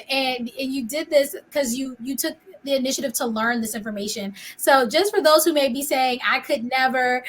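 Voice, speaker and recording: A woman hosting a business podcast, talking in an upbeat, encouraging way with plenty of "um"s. Her voice sits a little high for a woman. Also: a bit bright. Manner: encouraging, chatty, with fillers. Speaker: podcast host. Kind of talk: podcast interview.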